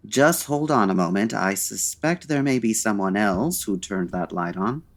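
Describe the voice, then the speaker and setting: An actor playing a suave, dry-witted 1940s gentleman detective, urbane and measured, in an old-time radio style. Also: fairly low pitch, expressive. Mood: polite, cautious.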